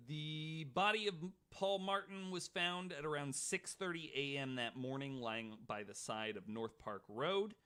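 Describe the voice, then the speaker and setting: Man, podcast host, bright forceful voice, mid pitch, reading true-crime notes with casual asides.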